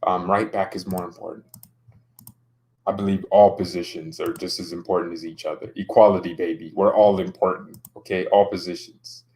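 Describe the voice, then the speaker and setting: A man's voice: sits low, level tone, normal speed, dark tone. A young man casually streaming about football, joking around and reading out chat comments. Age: young adult.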